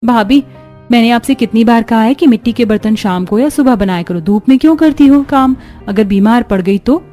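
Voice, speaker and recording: A woman's voice: fairly high pitch, fairly quick, dark tone, close to an everyday voice. A woman voicing a poor, sensitive young sister-in-law in a cartoon, caring and plaintive. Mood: concerned scolding. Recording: music behind the voice.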